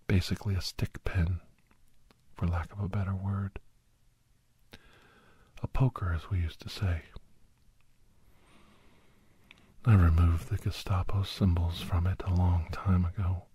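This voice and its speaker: A man playing a weary, guilt-ridden older doctor and veteran hunter, whispering a slow, halting confession in a breathy, husky voice as an ASMR audio story. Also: low-pitched, flat delivery, dark tone, dry recording. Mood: grave, reflective.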